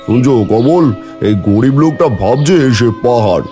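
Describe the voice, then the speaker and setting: Man playing village moneylender villain, scheming, condescending, mellow dark timbre, cartoon drama, faint music. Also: mid-range voice, lively intonation, normal speed, natural voice. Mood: menacing, scornful. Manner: condescending, pushy. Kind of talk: cartoon voice acting.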